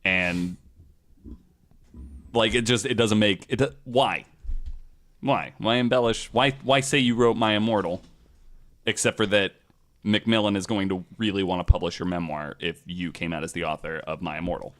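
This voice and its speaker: A man's voice: lowish voice, normal speed, pauses often. A man hosting a podcast, telling stories in a casual, informal way with loose, sweary phrasing. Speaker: podcast host. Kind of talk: casual storytelling podcast talk.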